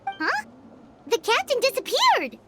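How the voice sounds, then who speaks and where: A woman voicing a tiny, childlike, anxious fairy companion in a dubbed game, squeaky, excitable and hesitant. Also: slow pace, very noisy, heavily acted. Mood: surprised. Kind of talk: dubbed video game dialogue. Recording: very loud music under the voice.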